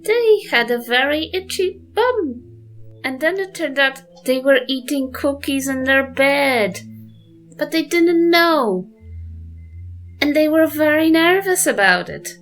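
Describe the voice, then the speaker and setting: A woman acts out a kindly old granny for a tabletop game. Her pitch swings widely as she gently pries, over faint background music. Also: animated, takes it slowly. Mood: gently concerned.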